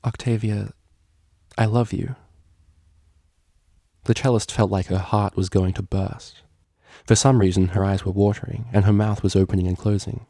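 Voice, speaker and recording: Deep, creaky-voiced man reading a story. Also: flat delivery, a little fast, warm tone, no echo, clean studio sound. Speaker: fan fiction reader. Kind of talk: scripted story narration.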